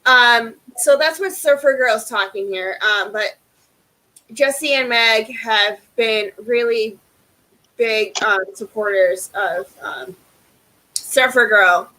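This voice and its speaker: Bright, slow-paced Midwestern woman. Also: fairly high pitch, poor audio. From Illinois, US. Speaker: livestream co-host. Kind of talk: casual livestream chat.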